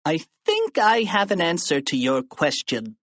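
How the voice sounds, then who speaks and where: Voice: mid-range voice, huge pitch swings, a little slow, a bit bright, no echo, voice pushed up, full character voice. A narrator voicing a smug, self-important tattletale schoolboy in a children's story. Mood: prim.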